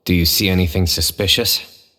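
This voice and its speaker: A man playing a composed, shrewd young chief investigator in a video game, speaking in a measured, authoritative way. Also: sits low, fairly even intonation, bright, forceful tone, slight background noise. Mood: analytical. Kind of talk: video game character acting. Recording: music behind the voice.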